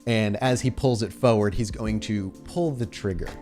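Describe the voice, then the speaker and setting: A man's voice: fairly low pitch, moderate pace, a little mellow, faint music behind. A man running a tabletop horror game as storyteller, narrating in a descriptive, cinematic way.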